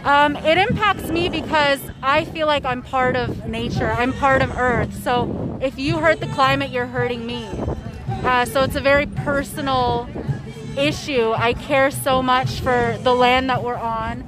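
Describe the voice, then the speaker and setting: A woman's voice: sits high, fairly even intonation, unhurried, rough recording. A woman at a street protest speaking sincerely and earnestly. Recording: outdoors with music behind.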